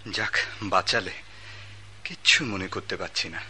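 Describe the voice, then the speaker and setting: An actor playing a spoiled rich young son in a radio drama, flustered and defensive. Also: male voice, low voice, a little slow, pushed and bright, a little hiss or hum, heavily acted. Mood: anxious.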